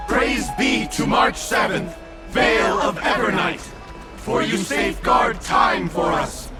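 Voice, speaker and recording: An actor playing a fervent herald who declaims hymns of praise to gods in a grandiose, reverent manner. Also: male voice, high-pitched. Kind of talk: theatrical liturgical declamation. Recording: loud music under the voice.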